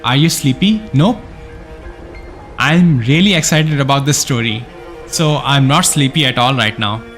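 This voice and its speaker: A man's voice: medium pitch, measured pace, fairly bright tone. A man live-streaming a game, reading story text aloud and dropping in casual reactions. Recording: background game music. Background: game music.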